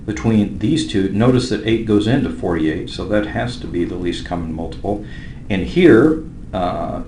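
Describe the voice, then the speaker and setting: A man teaching math, working through problems step by step in a measured way with pauses. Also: sits low, a little mellow. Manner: measured, with pauses while writing. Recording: roomy echo with faint background noise.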